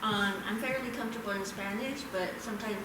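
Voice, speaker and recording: A woman's voice: mid-range voice, quick pace, noisy background. A woman from the United States, an audience volunteer chatting easily about growing up speaking two languages. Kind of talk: casual chat on stage. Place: small theater, live audience. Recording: echoey theater, distant mic.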